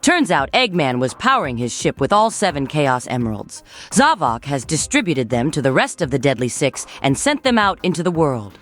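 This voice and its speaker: A woman's voice: low voice, very animated, moderate pace, a bit bright, soft background music. A woman voicing a cool, confident female bat spy in a cartoon, composed as if briefing allies. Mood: wry, grave. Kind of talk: cartoon dialogue.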